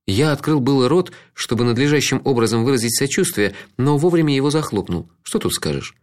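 Brief: male narrator with a mid-pitched, animated voice, wry reflective storytelling. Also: brisk. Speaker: audiobook narrator. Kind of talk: first-person literary narration.

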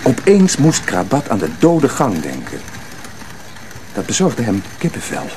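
A man narrating a radio drama as a scripted story, in an expressive, suspenseful storytelling manner. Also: medium pitch, measured pace, background noise.

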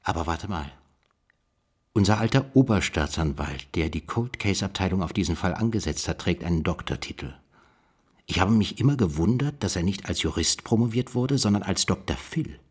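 An actor playing a pondering investigator who muses through clues of a literary case in a radio play. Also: male voice, low voice, animated, moderate pace, husky. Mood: intrigued.

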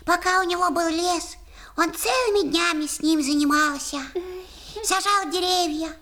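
A woman's voice: extremely high pitch, moderate pace, bright, forceful tone. A woman voicing a small, sorrowful house-spirit girl in a children's radio play.

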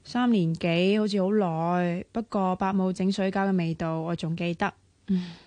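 A woman acting in a radio drama as a playful, bossy young woman who is tight with money, teasing and sharp-tongued in Hong Kong Cantonese. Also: fairly low pitch, fairly even intonation, moderate pace, no echo, close to an everyday voice. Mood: complaining, fond.

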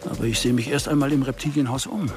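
A man's voice: medium pitch, fairly even intonation, quick pace, over music, natural voice. An actor playing an agitated, distrustful businessman doing a shady deal in a radio crime drama. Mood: suspicious, agitated. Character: jittery, suspicious male businessman, drug buyer.

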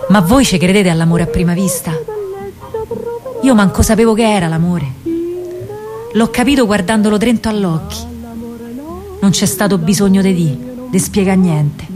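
An actress playing a spirited young partisan woman with a Roman accent, telling her story in an expressive, colloquial radio monologue. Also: mid-range voice, normal speed, long pauses, over music, natural voice. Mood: enamored, wistful. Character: young female partisan courier, lovestruck and spirited. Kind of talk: theatrical radio monologue, dramatic first-person storytelling.

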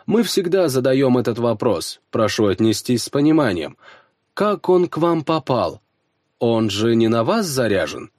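Courteous, formal gem appraiser voiced by a man, lively intonation. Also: mid-range voice, a little slow, no echo, clean studio sound. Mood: politely probing. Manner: polite, formal, precise. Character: courteous middle-aged male gem appraiser, businesslike clerk.

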